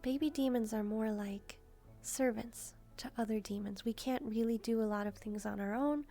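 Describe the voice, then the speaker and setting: Woman playing a soft, high-voiced demon maid girl. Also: normal speed, soft background music. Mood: earnest, explaining. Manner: polite, soft-spoken, hesitant and chatty. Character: sweet, curious young female demon maid. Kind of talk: roleplay addressed to the listener.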